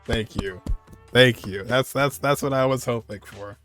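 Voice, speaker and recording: A man's voice: medium pitch, a little slow, a bit bright. A man giving informal, reactive game commentary, thinking aloud in a casual way. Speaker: gaming commentator. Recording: faint game music behind. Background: game music.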